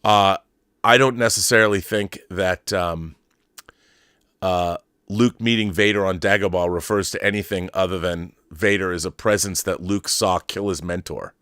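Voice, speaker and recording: Male livestream host, middle-aged, lowish voice, casual and opinionated fan talk with halting restarts, close dry sound. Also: fairly even intonation, fairly bright tone, clean tone, no room sound. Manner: halting, rambling, repeats words while thinking. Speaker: host of a film fandom livestream. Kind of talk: casual commentary, answering viewer messages.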